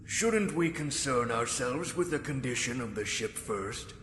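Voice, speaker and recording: A man's voice: mid-range voice, expressive, measured pace, fairly bright tone, a little hiss or hum. A man playing a businesslike council leader, formal and firm, in a sci-fi drama. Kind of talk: sci-fi audio drama acting. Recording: echoey hall, clear background ambience.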